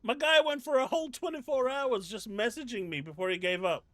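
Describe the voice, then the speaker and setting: A man's voice: very high-pitched, fairly quick, a bit bright, no echo, faint music behind. A man with an English accent hosting a casual live stream from his desk at home, reading chat and ranting in disbelief. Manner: exasperated, ranting, incredulous.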